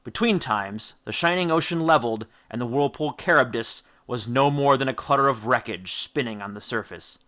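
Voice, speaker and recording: A man reading an epic story aloud as an audiobook, with theatrical, emphatic delivery and deliberate dramatic stresses. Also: mid-range voice, sharp tone. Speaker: audiobook narrator. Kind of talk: dramatic scripted storybook narration.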